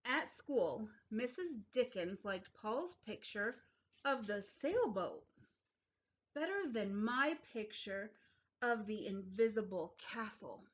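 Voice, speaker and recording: A woman's voice: mid-range voice, big pitch swings, slow pace, slightly rough, muffled recording. A woman reading a children's story aloud expressively, leaning dramatically on key words. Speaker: storybook reader.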